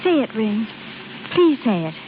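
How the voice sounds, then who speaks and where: Sincere young working woman in love, high voice, wistful and earnest, vintage radio play, hissy recording. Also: big pitch swings, unhurried. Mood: wistful, pleading. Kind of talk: 1930s-40s radio drama acting. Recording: muffled old radio recording with clear hiss.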